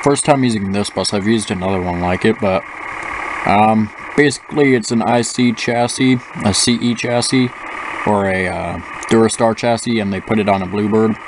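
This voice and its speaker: A man's voice: on the low side, steady tone, moderate pace. Rambling young man over engine noise. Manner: rambling, many fillers and restarts. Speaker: gaming channel host. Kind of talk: casual gameplay commentary. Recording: noisy with engine sounds from the game. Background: simulated bus engine noise.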